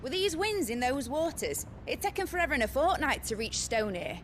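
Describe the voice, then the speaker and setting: An actress playing a bold, brash young ship captain in a video game cutscene, hearty and commanding. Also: female voice, sing-song, a little fast. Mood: brisk, confident. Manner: salty, hearty, barking orders. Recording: heavy engine and sea rumble behind.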